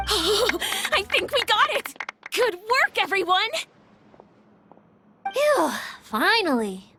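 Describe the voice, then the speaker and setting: A woman's voice: very high-pitched, extremely slow, pauses often, faint music behind, full character voice. A woman playing a perky, eager young woman reporter in an anime game, theatrical and confident. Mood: cheerful. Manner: theatrical, expressive.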